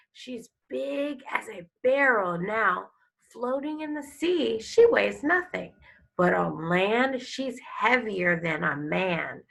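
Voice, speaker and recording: Gravelly-voiced woman teacher reading aloud slowly. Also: mid-range voice, poor audio. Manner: expressive, instructive, pauses to explain and prompt. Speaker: elementary school teacher. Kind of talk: picture book read-aloud with questions to young pupils.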